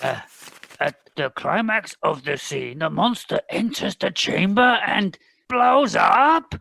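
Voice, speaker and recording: A performer playing a sulky, hapless giant monster in an amateur radio B-movie parody, with a gravelly voice and a petulant grumble. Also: mid-range voice, animated, slow pace, sharp tone, a little hiss or hum, exaggerated voice.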